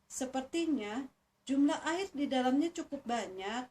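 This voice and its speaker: A woman's voice: high-pitched, lively intonation, unhurried, a little mellow. A woman voicing a sly, scheming turtle in a children's fable, coaxing and exclamatory with false cheer. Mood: excited scheming. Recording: distant mic, faint noise.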